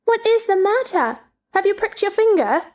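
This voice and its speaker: A woman's voice: extremely high pitch, sing-song, normal speed, a little mellow. A woman playing a polite, puzzled, sensible young girl in a theatrical children's storybook drama, earnest and questioning. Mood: alarmed.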